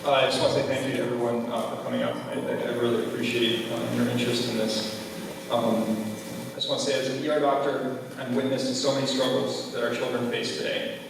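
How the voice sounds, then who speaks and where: Echoey, fast-talking man giving a campaign speech. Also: mid-range voice, flat delivery, gravelly. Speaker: school board candidate. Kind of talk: closing campaign speech at a public forum. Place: large echoey room at a public forum. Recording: echoey hall from far off, faint noise.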